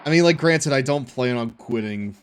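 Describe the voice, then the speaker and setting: A man's voice: medium pitch, expressive, moderate pace. A man in his twenties streaming a game and chatting casually, with slangy, joking, profane talk. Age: 20s. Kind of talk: casual livestream chat.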